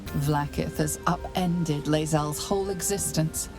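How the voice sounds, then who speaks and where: An actress playing a grave, knowing storyteller, giving measured, solemn dramatic narration for a fantasy video game. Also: female voice, low voice, fairly even intonation. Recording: music behind the voice.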